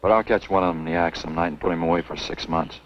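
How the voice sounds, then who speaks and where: A man plays a stoic young lawman of the swamps with a deep voice and rapid delivery. It is clipped 1950s film acting, slightly noisy in the background. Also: steady tone, lightly acted. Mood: firm, unworried. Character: stoic, confident young game warden. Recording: dull old film soundtrack, faint noise.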